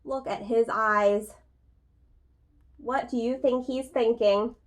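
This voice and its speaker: A woman reading a picture book aloud to young children as a preschool teacher, expressive and speaking to them directly with questions. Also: a little high, slow pace.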